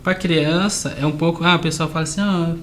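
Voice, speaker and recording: A man's voice: on the high side, fairly even intonation, brisk, a bit bright. A man telling personal stories casually as an interview guest, often checking that the listener follows. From grew up between southern Brazil and Argentina. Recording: clear background noise.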